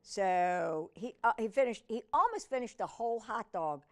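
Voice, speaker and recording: A woman's voice: medium pitch, expressive, normal speed. A woman hosting a children's storytime, reading a picture book aloud expressively and adding chatty asides and questions to her young viewers.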